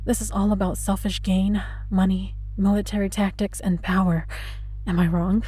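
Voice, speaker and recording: Bitter, menacing immortal captive, acted by a woman, softly husky. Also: medium pitch, little pitch movement, lightly acted. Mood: bitter, accusing. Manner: taunting, sardonic, deliberate, addresses listener with mock endearments. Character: bitter, menacing immortal female lab test subject, vengeful and mocking. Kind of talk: dramatic audio roleplay monologue.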